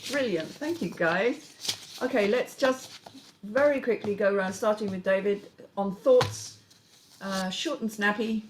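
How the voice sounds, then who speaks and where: A woman's voice: mid-range voice, measured pace, background noise. Frank woman hosting a panel. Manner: frank, casually sweary. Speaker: host of a script reading panel. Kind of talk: casual panel discussion.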